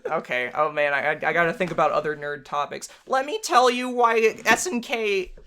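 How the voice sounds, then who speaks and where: A woman's voice: on the low side, expressive, normal speed, pushed and bright. A woman playing a visual novel, reading the narration aloud and chatting playfully as she goes. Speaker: game streamer, narrator and voice reader. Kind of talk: playful let's play with read-aloud narration.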